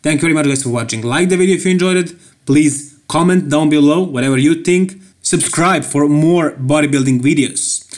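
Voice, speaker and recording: A man's voice: on the high side, a little fast, a little mellow. A man with a Serbian accent giving casual, joking voice-over commentary on a bodybuilding show, laughing often. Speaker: bodybuilding YouTuber.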